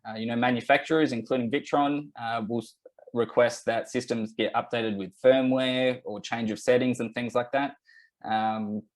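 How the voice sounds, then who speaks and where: A man with an Australian accent presenting a technical webinar over a video call, relaxed and full of fillers and restarts. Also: mid-range voice.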